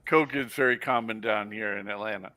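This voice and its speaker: A man's voice: on the low side, fairly quick, bright, forceful tone, no echo. A man in a casual chat, laid-back with short answers.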